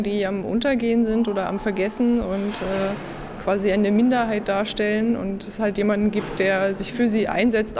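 A young woman in her twenties talking thoughtfully about her project, with fillers, into a handheld mic. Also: fairly high pitch. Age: 20s. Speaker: design graduate. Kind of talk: interview at an exhibition. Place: indoor exhibition room. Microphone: handheld interviewer mic. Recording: audible background noise.

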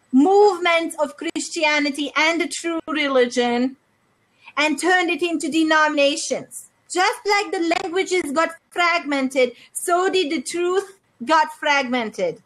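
A middle-aged woman talks earnestly into earbuds, laying out her faith like a short sermon. Her voice sits high and she takes her time with each phrase. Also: a bit bright, rough recording. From Hungary. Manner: earnest, deliberate preaching. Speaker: host. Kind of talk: religious video chat.

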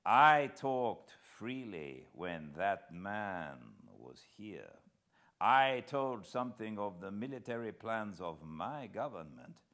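A man's voice: lowish voice, very animated, takes it slowly, a bit bright, full character voice. A man voicing a grave, decisive French military man, formal and emphatic, in a storybook reading. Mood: grave, urgent. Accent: British.

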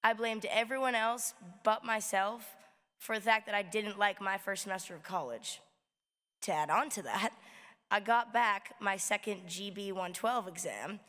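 A hoarse young woman with a raspy voice, giving a casual, chatty welcome speech as student government president at a lectern mic. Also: medium pitch, normal speed. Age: young adult. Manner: casual, chatty, lively gestures. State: hoarse from screaming. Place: large arena, indoors. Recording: long hall reverb.

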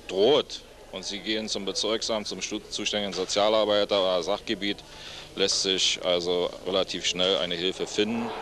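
A middle-aged man giving measured, factual interview answers in official wording, speaking into a handheld microphone. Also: low voice. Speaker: interview guest, head of a homeless hostel. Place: seated among plants in a senior citizens' centre.

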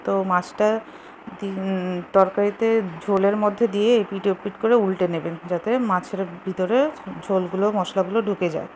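Woman narrating a recipe, clearly noisy recording. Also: mid-range voice, little pitch movement, normal speed, a little mellow. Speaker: home cooking show host. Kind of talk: casual step-by-step recipe narration. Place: home kitchen.

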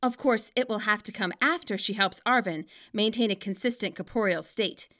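A woman narrating a scripted story in an expressive, wryly dramatic way. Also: medium pitch, moderate pace. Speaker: author narrating own fan fiction.

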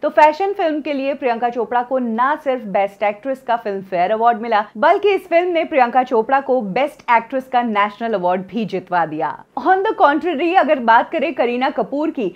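A woman in her thirties presenting scripted celebrity gossip news in a studio, telling the story in a lively way and dropping in English phrases. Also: high voice, normal speed, few pauses, lo-fi sound.

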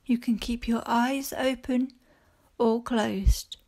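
A woman teacher leading a guided mindfulness body scan for children, speaking calmly and gently in short phrases with long pauses. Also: a little high. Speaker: primary school teacher leading a guided meditation.

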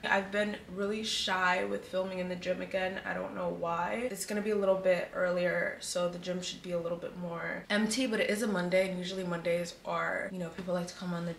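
A young woman vlogging at home, chatting casually and directly to her viewers with a relaxed, talkative manner, recorded on a camera set a few feet away. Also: on the low side, a little fast, vocal fry, few pauses, echoey room, slight background noise. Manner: chatty, relaxed, speaks straight to viewers with filler "like". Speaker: lifestyle vlogger. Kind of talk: casual vlog chat to camera. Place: indoors at home, large room.

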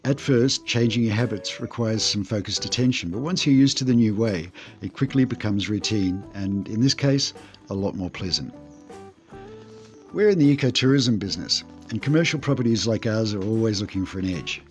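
A man who owns an eco lodge, narrating a scripted voice-over in a calm, measured, explanatory way. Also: lowish voice, soft background music.

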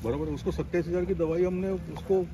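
A man's voice: fairly high pitch, steady tone, a little fast, a loud crowd. A man from Rajasthan answering casually as a goat trader, repeating words and phrases for emphasis. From Rajasthan, India. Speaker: goat farm trader giving advice.

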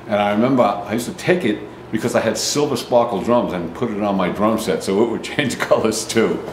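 Low-voiced man recalling holidays, echoey room. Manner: enthusiastic, halting with fillers. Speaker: interviewee, drummer. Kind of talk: casual reminiscing interview. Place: indoors in a museum before a nativity display. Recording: roomy echo with room noise.

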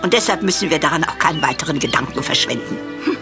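An elderly farm woman in a German radio play. Her pitch moves freely, yet she stays prim, dry and measured, wistful underneath. Music plays behind her. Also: animated. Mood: reflective. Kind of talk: German audio drama acting.

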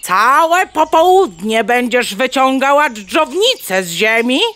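A woman's voice: high voice, very animated, takes it slowly, a bit bright, full character voice. An actress playing a bossy old mother hen in a children's radio play, scolding sternly with stuttering clucks inside her words.

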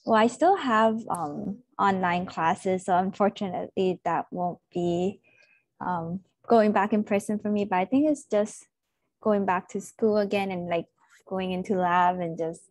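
A woman's voice: medium pitch, a little mellow, no room sound. A young woman born in Vietnam, speaking hesitantly with fillers on a video call panel. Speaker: orientation leader. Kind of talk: casual panel talk.

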